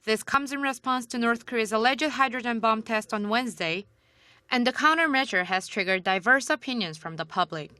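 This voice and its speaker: Bright-voiced woman reporting the news. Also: fairly high pitch, fairly quick, no room sound. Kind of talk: scripted news narration.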